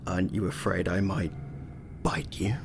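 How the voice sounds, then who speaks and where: A man's voice: low-pitched, steady tone, vocal fry, slight background noise. An actor playing a deranged, fearful male asylum patient who stammers and speaks of himself as a servant in an audio drama. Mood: wary, giddy. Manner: halting, stammering, muttering in third person. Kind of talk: theatrical audio drama acting.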